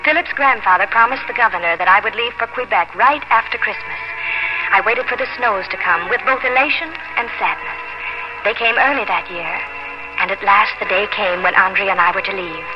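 A woman's voice: mid-range voice, a little fast, natural voice. An actress playing a determined young woman of the colonial frontier in a 1950s radio drama. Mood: wistful, resolute. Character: Nancy, determined young woman fleeing through colonial wilderness. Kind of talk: 1950s radio drama acting, first-person narration. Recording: dull vintage radio recording with music.

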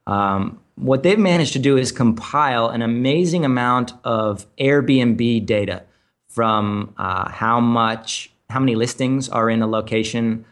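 A man co-hosting a casual podcast, explaining tools and data in an analytical way with many ums and restarts. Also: medium pitch. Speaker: podcast co-host. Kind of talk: casual chat.

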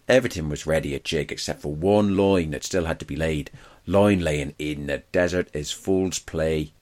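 A man reading a first-person wartime diary aloud as scripted narration. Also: low voice.

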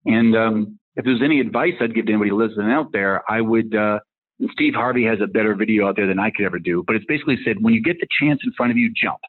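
A man in his fifties with a faint Massachusetts accent talks quickly through his career as a tech executive, rambling from one anecdote to the next. His voice is husky, and it comes through a narrow, phone-like line. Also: fairly low pitch. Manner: rambling, acts out dialogue in anecdotes. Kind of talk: casual career storytelling.

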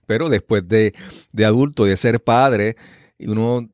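A man's voice: on the low side, measured pace, a little mellow, dry recording, clean studio sound. A man hosting a podcast, introducing and interviewing in a conversational way. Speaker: podcast host.

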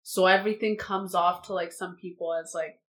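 A woman's voice: lowish voice, steady tone, brisk. Talkative young woman, assertive delivery. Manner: talkative, unfiltered, heavy use of "like". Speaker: guest. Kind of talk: casual chat. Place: living room.

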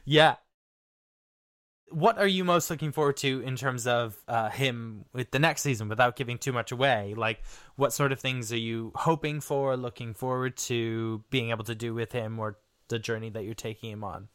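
A man's voice: medium pitch, steady tone, normal speed, a bit bright, clean tone, dry recording, clean studio sound. A man hosting a casual podcast interview, asking questions as the series creator.

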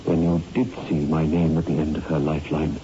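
A man's voice: very deep voice, little pitch movement, a little fast. A man playing a tormented, desperate surgeon in a 1960s radio horror drama, speaking with urgent agitation. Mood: desperate, agitated. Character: tormented male surgeon, fearful, desperate, obsessed with death. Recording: muffled, noisy old radio recording.

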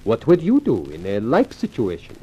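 A smooth continental racketeer from a 1950s radio mystery. His speech is formal and urbane, with swooping pitch over a soft, dusky, slightly rough tone. He stays coolly courteous while he schemes. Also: huge pitch swings, dull audio, exaggerated voice. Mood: smooth, insinuating. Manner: formal, measured, urbane phrasing. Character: suave scheming racketeer, European adagio dancer, coolly courteous. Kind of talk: 1950s radio drama acting.

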